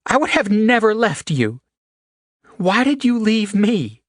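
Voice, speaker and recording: A man's voice: high-pitched, very animated, slow pace, no room sound, studio quality, pitched above the performer's own voice, exaggerated voice. A man voicing a tearful, fierce young woman. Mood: pleading. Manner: pleading, fierce.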